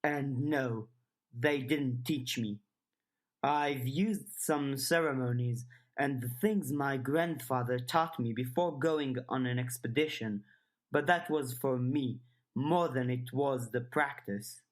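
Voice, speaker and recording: A woman's voice: extremely low pitch, slow. A woman voicing a guarded, aloof and weary woman in an audiobook, terse and reserved. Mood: curt. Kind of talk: audiobook character dialogue.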